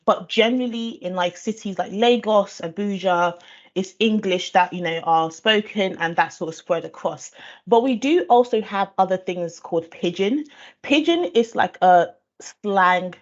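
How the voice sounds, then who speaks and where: A woman's voice: medium pitch, moderate pace. A woman from Nigeria who grew up speaking Igbo, explaining SEO in a thoughtful conversational way, with fillers and restarts. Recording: dull lo-fi audio.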